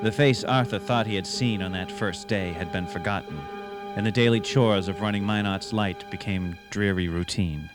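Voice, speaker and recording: A male actor gives the narration for a ghostly radio drama of the sea. He is grave and dramatic, pitched a little low. Prominent music plays behind the storytelling. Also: moderate pace, lightly acted. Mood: ominous, measured.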